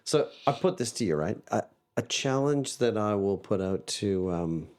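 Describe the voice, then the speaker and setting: A man's voice: fairly low pitch, lively intonation, moderate pace, darkish tone. A man with a mixed Canadian and Australian accent, talking casually as a podcast guest, rambling and restarting often. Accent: Canadian and Australian mix. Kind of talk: casual interview chat.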